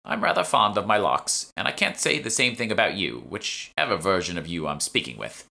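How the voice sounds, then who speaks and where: A man's voice: low voice, big pitch swings, a little fast, vocal fry, pushed and bright, heavily acted. A man voicing a petite elderly woman shopkeeper in an audiobook, bored, grudging and sardonic. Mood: wry, sardonic. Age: older. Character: petite elderly shopwoman, bored, prickly and wry. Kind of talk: audiobook character dialogue.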